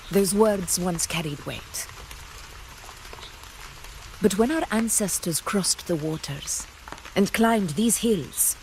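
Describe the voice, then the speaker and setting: Slow-speaking, confident warrior queen. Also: mid-range voice, slight background noise, poor audio. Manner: measured, grand, declarative phrasing. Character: confident, unflappable female warrior ruler, proud and teasing. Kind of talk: video game voice acting.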